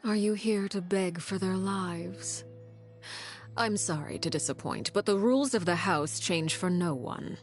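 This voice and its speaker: Cold female game villain, lowish smooth voice, formal, measured, veiled menace, music behind. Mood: cold, composed. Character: cold, menacing female leader of a secretive orphan house. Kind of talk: video game voice acting.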